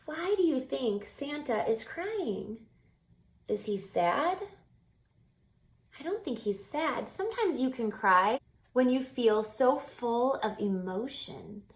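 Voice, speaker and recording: A woman reading a children's story aloud in an expressive, explaining manner, pausing to put questions to young listeners. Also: on the high side. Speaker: story reader and teacher. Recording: roomy, echoing room sound.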